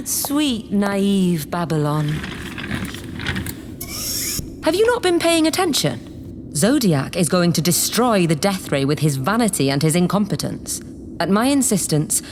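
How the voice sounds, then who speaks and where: A haughty, power-hungry female politician in an audio drama. Her grandiose, condescending delivery sweeps widely in pitch, over faint background noise. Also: big pitch swings, a little slow. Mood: gloating, scheming. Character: imperious, scheming politician woman plotting to seize power. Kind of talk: theatrical audio drama acting.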